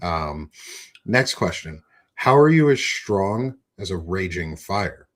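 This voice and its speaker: Brief: easygoing male podcast host, lower voice, quick to praise and joke. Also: sing-song, a bit bright. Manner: encouraging, joking, laid-back. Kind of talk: casual interview conversation.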